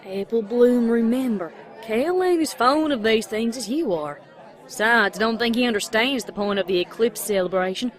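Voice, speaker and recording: A woman's voice: a little high, moderate pace, some chatter behind, close to an everyday voice. A woman voicing a plain-spoken, protective country pony in a cartoon audio drama. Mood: cautioning. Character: plain-spoken, protective grown country mare, big sister. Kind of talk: animated fan audio drama.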